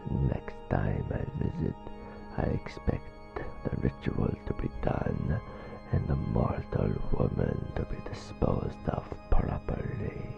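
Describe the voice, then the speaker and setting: A man voicing a cold, menacing necromancer in a fantasy audio roleplay, formal and ominous, with a breathy gravelly rasp. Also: rumbling low, lo-fi sound, exaggerated voice. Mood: threatening, imperious. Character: menacing, cold necromancer, coven envoy to a vampire court.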